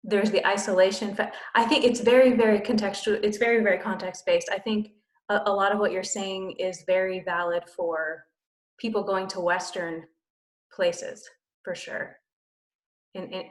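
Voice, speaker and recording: A woman's voice: medium pitch, level tone, measured pace, roomy sound. A woman missionary in casual conversation, talking thoughtfully and repeating words for emphasis.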